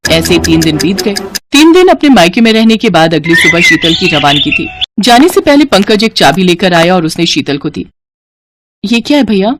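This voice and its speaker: A woman's voice: lowish voice, sing-song, normal speed. A woman reading scripted storytelling narration for a Hindi-style family cartoon.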